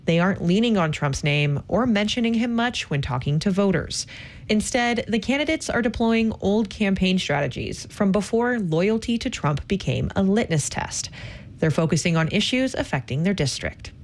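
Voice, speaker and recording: Woman, radio reporter, medium pitch, scripted news delivery. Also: animated, moderate pace.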